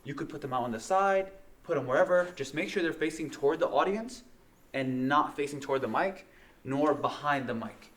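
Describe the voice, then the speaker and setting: A man's voice: fairly high pitch, animated, brisk. A man giving a casual hands-on tutorial as an instructor, explaining things step by step in plain words and repeating key points. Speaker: instructor demonstrating audio gear.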